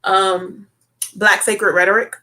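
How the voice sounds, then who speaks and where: A woman hosts a relaxed talk show, speaking with a bright, forward tone. She chats casually, tossing in fillers and asking "right?" as she goes. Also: mid-range voice, slow pace. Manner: chatty, with many fillers and tag questions. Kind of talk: casual chat.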